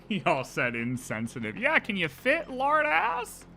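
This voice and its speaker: A young man streaming a game with casual live reactions, excitable, slangy and jokey. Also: high-pitched, slow, creaky, soft background music.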